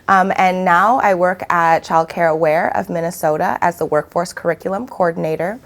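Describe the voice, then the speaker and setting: This is a soft-spoken young woman with a somewhat low voice. She answers interview questions gently, with frequent pauses and fillers, as a children's book author. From Vietnam, raised in South Dakota. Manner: soft-spoken, many ums and uhs. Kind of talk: interview answers, then reading a picture book aloud.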